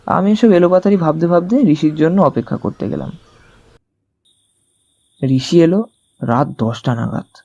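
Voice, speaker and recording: A man telling a detective thriller in the first person, in an expressive, conversational storytelling style. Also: fairly high pitch, moderate pace, creaky, long pauses, no room sound, highs cut off. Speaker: narrator of an audio story.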